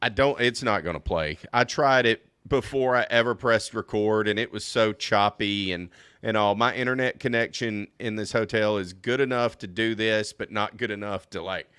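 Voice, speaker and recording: Man, podcast co-host, hoarse from talking, bright clear tone, dry close mic. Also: fairly low pitch, moderate pace, no echo. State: losing his voice. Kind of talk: casual chat. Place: hotel room.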